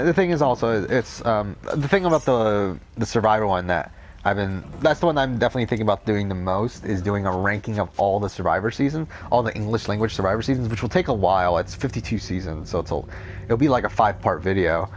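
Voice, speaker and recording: A young man with a cold, rambling through casual gameplay commentary, talking off the top of his head with a deep, unclear voice and frequent swearing. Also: expressive, fast talker, a little hiss or hum. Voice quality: deep, not clear. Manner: rambling, unscripted, says whatever comes to mind, swears often. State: has a cold. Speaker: Let's Play commentator.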